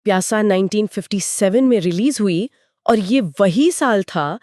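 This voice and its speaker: A woman's voice: medium pitch, lively intonation, unhurried, a little mellow, no room sound, clean studio sound. A woman giving explanatory, conversational film commentary in an earnest storytelling way. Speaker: podcast co-host.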